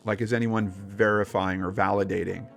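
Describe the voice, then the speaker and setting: A man's voice: on the low side, flat delivery, normal speed, vocal fry, a bit bright, soft background music. A man co-hosting a casual paranormal discussion, talking informally and telling personal anecdotes with plenty of conversational asides. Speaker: podcast co-host.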